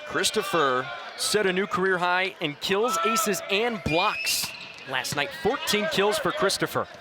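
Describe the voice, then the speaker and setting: High-voiced male play-by-play man, loud crowd. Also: measured pace. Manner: excitable, rising on big plays. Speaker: play-by-play announcer. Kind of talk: live volleyball TV commentary. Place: indoor sports arena. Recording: loud crowd and arena noise. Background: crowd, bouncing balls, music.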